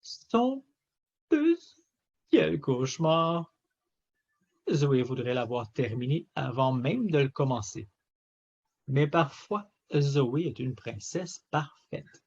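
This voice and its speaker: A man reading a children's story aloud in an expressive, theatrical way with emphatic phrasing. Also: mid-range voice, slow, long pauses, slightly rough, dull audio. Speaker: storybook reader.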